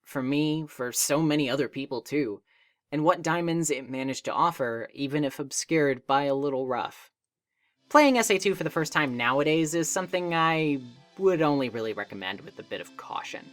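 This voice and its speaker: A man's voice: on the high side, animated, normal speed, a bit bright. A man delivering scripted video essay narration in an emphatic, conversational and wry manner. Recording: music playing behind the voice. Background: background music.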